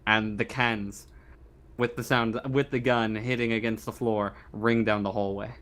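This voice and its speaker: A man acting as game master, improvising narration for a tabletop roleplaying game in halting, repeated phrases. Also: on the low side, little pitch movement, fairly bright tone.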